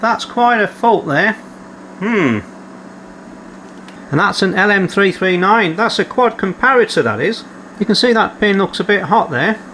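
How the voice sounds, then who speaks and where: Repair-channel host, male, slightly higher pitch, methodical and matter-of-fact. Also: animated, measured pace, pauses often, bright, forceful tone, slight background noise. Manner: methodical, reads out measurements as he works. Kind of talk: calm narrated workshop repair. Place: home workshop.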